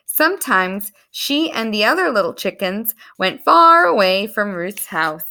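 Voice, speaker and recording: A woman reading a story aloud to young children in an animated, expressive storytelling manner. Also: mid-range voice, unhurried. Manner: animated, explains words to young listeners.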